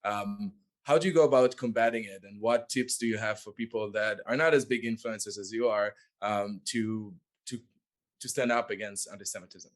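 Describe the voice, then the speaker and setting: A man's voice: on the low side, normal speed. Hesitant male interview host. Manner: hesitant, many uhs and restarts. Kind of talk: interview questions.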